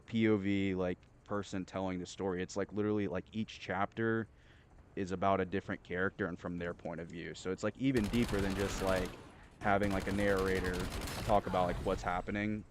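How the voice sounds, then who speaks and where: Male streamer, very low voice, loose slangy rambling, halting and repetitive, clear game noise in the background. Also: fairly even intonation. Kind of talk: casual rambling chat while gaming. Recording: gunfire and game noise behind. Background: video game gunfire and clicks.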